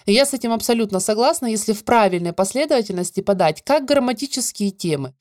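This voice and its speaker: A woman teaching a French grammar lesson, explaining clearly and stretching out the example words. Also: fairly high pitch, lively intonation, quick pace, a little mellow, dry recording, studio quality. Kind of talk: scripted grammar lesson narration.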